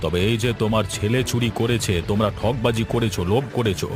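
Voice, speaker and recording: A man playing a stern, moralizing village gentleman of authority in an animated fairy tale. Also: fairly low pitch, fast talker, over music, natural voice.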